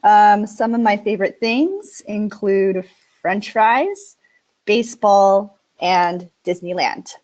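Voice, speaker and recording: A woman who teaches English as a second language, giving an upbeat, clearly spoken webinar presentation. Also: medium pitch, slow, darkish tone, muffled recording. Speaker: ESL instructor.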